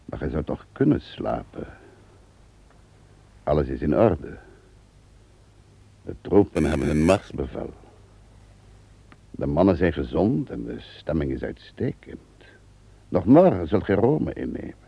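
A gravelly, loyal tutor. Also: male voice, very deep voice, very animated, unhurried, pauses often, no echo, muffled recording, exaggerated voice. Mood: reassuring. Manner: reassuring, deferential. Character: loyal older male tutor, soothing counselor. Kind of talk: radio drama acting.

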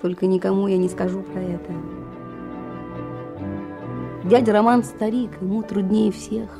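A woman's voice: mid-range voice, little pitch movement, brisk, gravelly, natural voice. A woman playing an earnest, simple-hearted young boy who cooks for timber rafters in a Soviet radio drama. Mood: caring, earnest. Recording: 1970s radio play, music behind.

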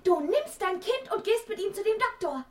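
A lioness mother and wife from a children's radio drama. Her voice is extremely high and set in a roomy echo, and she comes across as strong-willed. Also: expressive, normal speed. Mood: scolding, defiant. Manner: sharp-tongued, commanding. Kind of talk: children's radio play acting.

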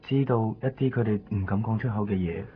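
A man's voice: fairly low pitch, fairly quick, lightly acted. An actor playing an odd, earnest male stranger in a Hong Kong Cantonese radio drama. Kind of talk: 1990s radio drama acting. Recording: very muffled thin band, faint noise.

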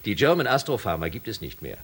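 An actor playing an excitable, authoritative space shuttle commander, speaking emphatically and officially in a science fiction radio play. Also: male voice, fairly low pitch, quick pace, husky. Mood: official, upbeat.

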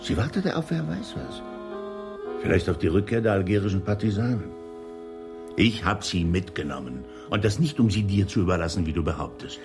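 An actor playing an older, insistent uncle haunted by war memories in a radio drama. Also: male voice, low voice, lively intonation, a little fast. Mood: haunted, pleading. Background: prominent music.

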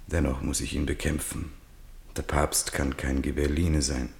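A man narrating a literary radio piece in a measured, deliberate way. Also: a bass voice, flat delivery, gravelly, roomy sound. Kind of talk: literary radio narration with quoted inner monologue.

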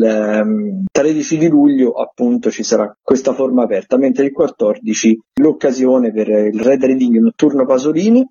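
A man's voice: mid-range voice, creaky, mellow, breathy edge, no room sound, dull audio. A man giving an informal radio rundown of events as a theatre critic, hesitant and often repeating phrases.

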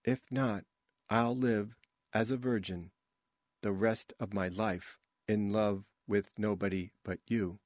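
Man voicing a soft, wooden reluctant young woman. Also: lowish voice, no room sound. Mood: reluctant, quiet. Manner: slow, soft, wooden delivery. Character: reluctant, flat young woman stiffly reciting romantic lines.

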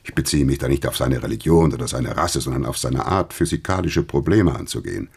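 Husky, very deep-voiced older professor. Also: male voice, lively intonation, fairly quick, darkish tone, exaggerated voice. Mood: grave, sardonic. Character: grave older German physics professor recalling the Nazi era. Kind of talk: radio drama dialogue.

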